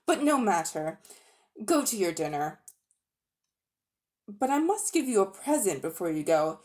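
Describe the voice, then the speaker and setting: A cunning old magician uncle, smooth and coaxing with a hint of menace, as a woman reads him aloud. His pitch rises and falls with sly relish, and he sounds falsely friendly in a children's storybook. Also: sing-song, unhurried, darkish tone.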